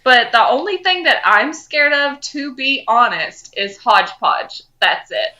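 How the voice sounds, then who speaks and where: A woman co-hosting a fan podcast. Her voice sits a little high and sounds bright and forward, and she talks in a casual, chatty style. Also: very animated, measured pace. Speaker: podcast co-host.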